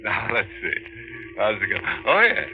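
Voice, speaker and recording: An actor playing a gleefully morbid horror host on 1940s radio, sardonic and punning, on an old muffled broadcast. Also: male voice, fairly low pitch, sing-song, moderate pace, faint music behind. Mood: wry, morbid.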